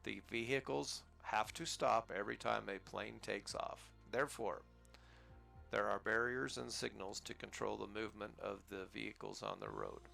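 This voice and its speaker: A man's voice: lowish voice, fairly even intonation, normal speed, vocal fry, sharp tone, faint music behind. An American man casually commenting on his flight simulator gameplay in a rambling, easygoing way, chuckling at himself now and then. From United States. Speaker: flight sim gaming channel host.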